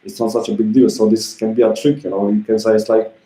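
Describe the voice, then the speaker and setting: Low mellow guy rambling casually, motivational vlog vibe, echoey room. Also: level tone, fast. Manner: meandering, informal, leans on filler phrases. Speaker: self-help vlogger. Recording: roomy echo.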